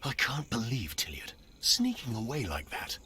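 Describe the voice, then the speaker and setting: A man's voice: medium pitch, wildly swooping pitch, normal speed, sharp tone. A man playing a grumbling, dry-humored fantasy adventurer and scholar in a video game. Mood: grudging worry.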